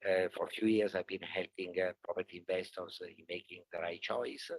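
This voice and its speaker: Male panelist in his sixties or older, Italian accent, lowish pitch, halting and jokey conversational delivery, dry sound. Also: fairly even intonation, no echo, rough recording. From Italy. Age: 60s or older. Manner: jokey, halting with many pauses. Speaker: panelist, property entrepreneur. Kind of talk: casual self-introduction to a live audience.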